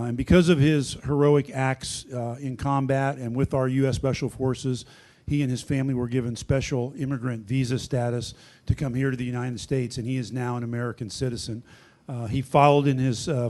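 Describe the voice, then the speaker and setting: Male sheriff, even monotone delivery, earnest, podium mic, chamber address. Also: mid-range voice. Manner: steady, earnest, frequent "uh" fillers. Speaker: county sheriff. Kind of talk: prepared public remarks. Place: county commission chamber.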